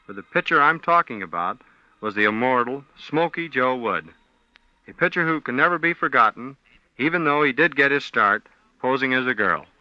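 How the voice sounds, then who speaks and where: A man's voice: medium pitch, lively intonation, moderate pace, no room sound. An American man reading a scripted sports story as a guest on a 1940s radio show. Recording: dull, dry vintage radio recording.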